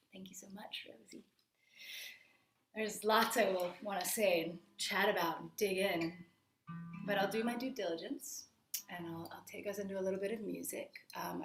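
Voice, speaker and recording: A woman from Atlanta, talking earnestly on a video call from her family home and repeating words as she gathers her thoughts. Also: medium pitch. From Atlanta, Georgia. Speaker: musician guest. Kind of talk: casual talk on a video call. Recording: roomy home echo with faint guitar.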